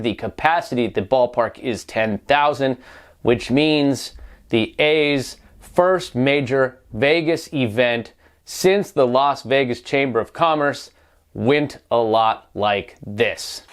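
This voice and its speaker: A man's voice: mid-range voice, slow. A man delivering opinionated sports news commentary as a reporter, wry and pointed, often posing rhetorical questions.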